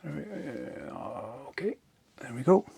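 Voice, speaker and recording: A man's voice: mid-range voice, huge pitch swings, slow pace, gravelly, warm tone, a little hiss or hum. A man giving a casual hands-on demonstration, thinking aloud hesitantly as he works. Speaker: host of an electronics and ham radio build video.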